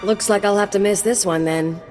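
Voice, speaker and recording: A woman's voice: medium pitch, steady tone, normal speed, darkish tone, big-room sound, soft background music, natural voice. An actress voicing a sardonic, irreverent female companion in a video game, offhand and sarcastic. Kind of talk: video game dialogue acting.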